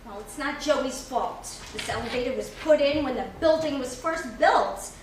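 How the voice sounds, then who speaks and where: A woman playing a jolly, teasing food service worker in a staged play reading, rambling haltingly with chuckles. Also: sits high, natural voice. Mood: exasperated. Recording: echoey hall, distant mic, background noise.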